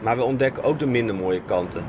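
A young Dutch man, a sailing skipper, reading a calm, matter-of-fact scripted voice-over. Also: mid-range voice, quick pace, noisy background. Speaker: skipper narrating a sailing documentary. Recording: faint music under the voice.

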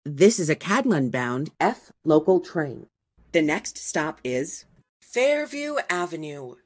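A woman reading scripted train announcements in a measured, formal, segmented way. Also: low voice, big pitch swings, slightly rough. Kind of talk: scripted transit announcement, spliced clips.

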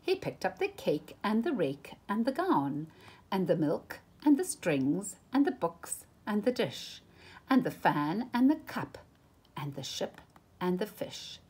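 A woman's voice: medium pitch, big pitch swings, measured pace, darkish tone, husky. A woman reading a children's picture book aloud with dramatic, emphatic phrasing, as a storytime reader.

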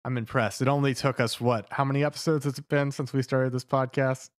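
A man's voice: mid-range voice, level tone, a little fast, no room sound, clean studio sound. A man on a podcast panel, talking casually and playfully. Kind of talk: casual comic banter.

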